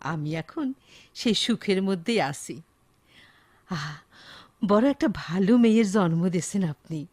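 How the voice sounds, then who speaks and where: A woman's voice: mid-range voice, lively intonation, unhurried, a little mellow. A woman with a Bangladeshi accent acting an affectionate, hospitable older village mother-in-law in a Bengali radio drama. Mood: warm, heartfelt.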